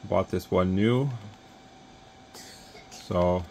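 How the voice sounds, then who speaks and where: Low-voiced man at a radio bench. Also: extremely slow, mellow, a little hiss or hum. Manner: plain, conversational, with frequent restarts and mic test counts. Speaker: radio shop owner demonstrating equipment. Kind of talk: casual bench demonstration. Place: radio workbench full of test equipment, indoors.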